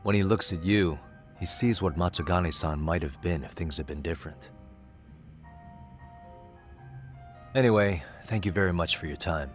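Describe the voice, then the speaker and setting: A man playing a cool, polite investigator in a video game, speaking in a measured, questioning way. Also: deep voice, faint music behind, natural voice. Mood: polite, reflective. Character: cool, polite male investigator, composed and probing. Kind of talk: video game cutscene acting.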